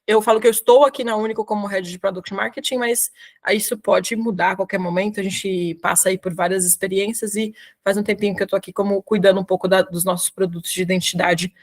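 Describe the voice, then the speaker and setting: A woman's voice: medium pitch, fairly even intonation, fast. Chatty São Paulo woman presenting casually. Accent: São Paulo Portuguese. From São Paulo, Brazil. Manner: chatty, informal slang, frequent fillers. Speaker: guest speaker presenting to a professional community. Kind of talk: casual live webinar talk.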